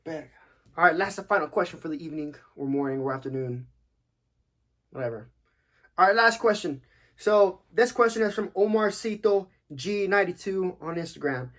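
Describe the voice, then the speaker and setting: A man's voice: high voice, animated, moderate pace, a bit bright. A young man from Texas hosting a podcast, answering questions in a laid-back, slangy, joking way with casual swearing. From United States, Texas. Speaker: podcast host, active-duty military.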